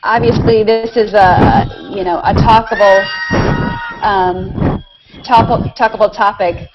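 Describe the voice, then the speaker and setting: A woman's voice: medium pitch, fairly even intonation, takes it slowly. A woman hosting a live video hangout, casually asking questions and relaying comments from viewers. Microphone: video call. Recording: dull, noisy internet video call.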